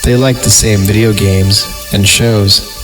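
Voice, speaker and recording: A man's voice: fairly low pitch, fairly even intonation, slow. A man hosting a horror podcast, reading stories aloud and breaking off for sarcastic, improvised jokes, with music behind him. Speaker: podcast host and reader. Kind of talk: creepypasta reading with joking asides.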